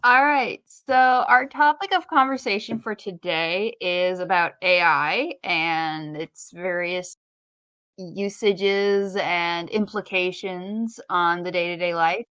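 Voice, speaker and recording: A woman's voice: lowish voice, slow pace, a bit bright, clean tone, no echo, muffled recording. A woman co-hosting a podcast, explaining things in an informal, conversational way. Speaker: podcast co-host. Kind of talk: casual conversational podcast chat.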